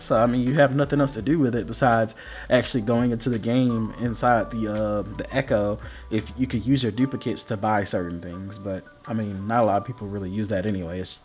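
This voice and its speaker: Sick guy doing a gaming vid, lowish voice, rambly and sorry about it, faint music under him. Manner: rambling, apologetic, many fillers. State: sick, partly lost voice. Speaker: gaming video host. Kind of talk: casual commentary over patch notes. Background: faint background music.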